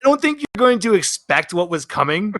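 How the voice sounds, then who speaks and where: A man narrating and improvising as game master of a tabletop game, animated and swearing freely. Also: sits high, brisk, no room sound, studio quality.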